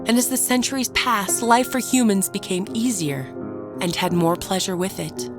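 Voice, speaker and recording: A woman playing a ruthless, unrepentant ageless murderess, narrating her tale in a measured, dramatic audio drama style. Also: mid-range voice, lightly acted. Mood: coolly confiding. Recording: narration over prominent background music. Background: music.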